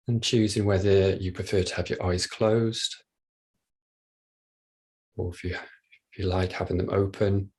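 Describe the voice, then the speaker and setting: A man leading a guided body scan meditation, speaking gently and without hurry, leaving long pauses. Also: deep voice, steady tone, husky. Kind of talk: guided meditation, soft instruction.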